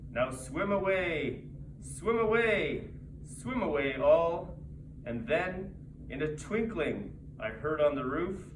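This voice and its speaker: Guy telling a rhyming holiday story, theatrical and really animated, far from the mic in a noisy room. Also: on the high side, roomy sound.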